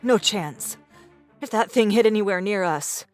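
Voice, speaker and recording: A woman's voice: medium pitch, a little slow, faint music behind, lightly acted. An actress playing a tall, bold, decisive woman survivor in an audiobook drama, with a blunt, assertive manner. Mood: bold, urgent.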